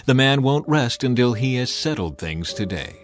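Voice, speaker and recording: A man voicing a caring, patient older mother-in-law who advises in a measured, instructive way in a Bible story. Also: medium pitch, big pitch swings, natural voice.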